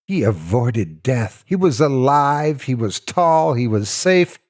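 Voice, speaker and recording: A man's voice: mid-range voice, very animated, slow pace, a little mellow. A man narrating a scripted story in a theatrical, mock-serious storytelling style. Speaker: narrator of horror stories.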